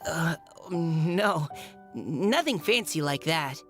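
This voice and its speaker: A shy, lively-pitched boy knight. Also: slow pace, soft background music. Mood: shy, modest. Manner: hesitant, stammering, polite. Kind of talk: video game voice acting.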